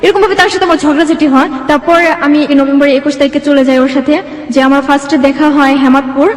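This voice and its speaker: A woman's voice: high-pitched, fairly even intonation, quick pace. A young Bangladeshi woman telling her own life story as an interview guest. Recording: echoey room with long reverb.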